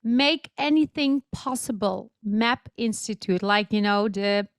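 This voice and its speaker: A woman's voice: medium pitch, a little slow, clean tone, dry recording. A woman hosting a podcast interview, welcoming her guest and asking questions with careful phrasing. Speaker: podcast host.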